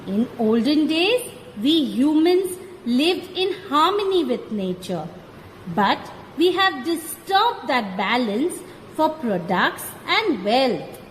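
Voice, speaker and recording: A woman's voice: sits high, very animated, exaggerated voice. A woman with an Indian accent playing a kindly, teacherly old grandmother in a children's puppet show. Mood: earnest. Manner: slow, deliberate, instructive delivery. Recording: echoey hall, background noise.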